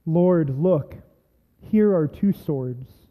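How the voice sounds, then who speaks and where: A man's voice: fairly high pitch, very slow. A man playing a devoted, fervent disciple in a dramatic scripture reading. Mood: earnest. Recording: thin phone-like sound, reverberant hall.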